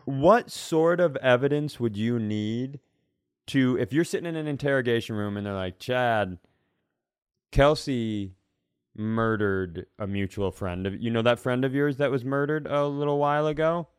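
A man's voice: medium pitch, normal speed, clean tone, no room sound, studio quality. A man telling stories casually on a comedy podcast, rambling and self-mocking, with loose, sweary delivery.

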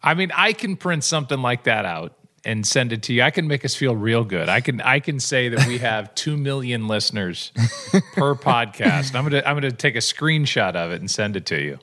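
A man's voice: mid-range voice, expressive, normal speed, bright, forceful tone. A man co-hosting a podcast in casual chat, exasperated and sarcastic. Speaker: podcast co-host.

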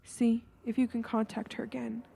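A woman's voice: a little high, level tone, normal speed, creaky, mellow, breathy edge, heavily acted. Woman acting as a scared girl, urgent, alarmed, séance scene, spooky storytelling. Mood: urgent, anxious.

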